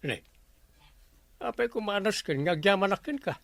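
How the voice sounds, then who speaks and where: A man's voice: high-pitched, expressive, normal speed, vocal fry, a bit bright, no echo, full character voice. A man playing a blustery, vain village captain, comic and wheedling, in a radio drama. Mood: grumbling. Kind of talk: comedy radio drama acting.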